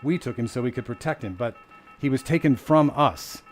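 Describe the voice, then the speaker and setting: A man acting in an audio drama as a calm government interrogator, measured, reassuring and evasive, with quiet menace. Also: mid-range voice, faint music behind, close to an everyday voice. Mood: pressing. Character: calm, controlling male government agent, politely menacing interrogator.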